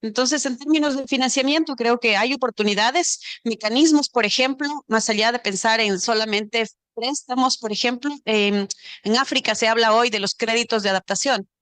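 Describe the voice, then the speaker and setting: A woman from Ecuador talks earnestly on a conference panel, now and then restarting her sentences. Her voice sits fairly high. The sound is dry and close to the mic. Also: moderate pace, fairly bright tone, dry recording. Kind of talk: panel discussion on climate finance. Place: conference pavilion.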